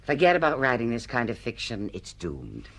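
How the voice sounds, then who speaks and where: Ruthless lady publishing boss, blunt n bossy, jargon heavy, pitch swings all over, radio play. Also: huge pitch swings, normal speed, vocal fry, full character voice. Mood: blunt, scornful. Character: brash, ruthless female publishing executive, bluntly dismissive. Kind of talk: radio drama acting.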